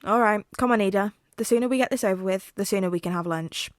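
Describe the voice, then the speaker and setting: A woman reading the lines of a calm, wry father in a measured, dry manner for a story. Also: mid-range voice, dry recording, lightly acted. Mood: brisk.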